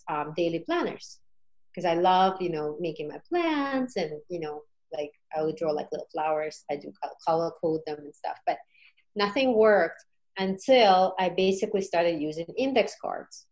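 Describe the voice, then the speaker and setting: A woman's voice: on the low side, lively intonation, normal speed, a little mellow. A woman guest on a podcast, telling her experience in a casual, informal way with frequent check-in questions. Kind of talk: casual conversational storytelling.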